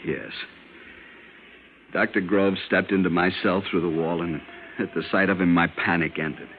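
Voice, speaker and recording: Through a tinny, hissy vintage radio recording comes a man acting a haunted lieutenant. His voice is on the low side, and he tells his ordeal with urgent, earnest intensity, like a man desperate to be believed. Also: steady tone, moderate pace, close to an everyday voice. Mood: awed. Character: frightened military lieutenant recounting a strange captivity, urgent and haunted. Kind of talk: old-time radio drama acting, first-person narration. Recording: noisy, narrow-band old radio recording.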